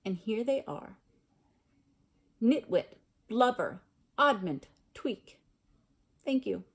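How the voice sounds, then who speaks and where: A woman's voice: fairly high pitch, big pitch swings, slow, slightly rough, exaggerated voice. A woman voicing a whimsical, ceremonious old male headmaster wizard in a storybook. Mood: playful, ceremonious.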